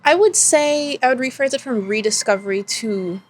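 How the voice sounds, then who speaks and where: A woman's voice: high voice, expressive, normal speed, slight background noise. A woman artist from the Bahamas on a panel, reflective and correcting herself as she goes. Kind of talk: panel discussion.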